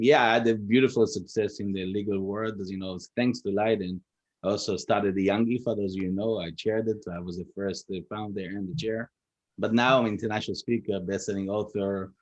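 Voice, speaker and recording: A man's voice: low-pitched, a little mellow. A man in his forties introducing himself on a video call in an upbeat, confident way. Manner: upbeat, confident self-promotion. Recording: dull lo-fi call audio.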